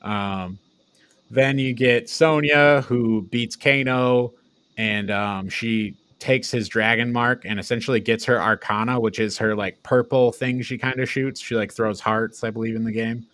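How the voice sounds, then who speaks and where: A man's voice: medium pitch, fairly even intonation, unhurried, fairly bright tone, no echo, clean studio sound. A man co-hosting a casual, informal review discussion, recapping things in an offhand way. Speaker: podcast co-host reviewing films.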